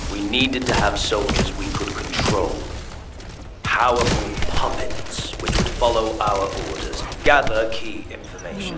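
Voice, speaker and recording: A low, echoing, scheming villain scientist. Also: male voice, far from the mic. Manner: measured, menacing, condescending. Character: scheming male villain scientist, cold, gloating and controlling. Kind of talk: dramatic video game voice acting. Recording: roomy echo, loud music and gunfire.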